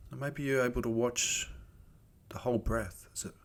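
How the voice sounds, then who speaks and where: A man leading a guided meditation in a gentle, unhurried, soothing manner with pauses. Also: mid-range voice, steady tone. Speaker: meditation teacher.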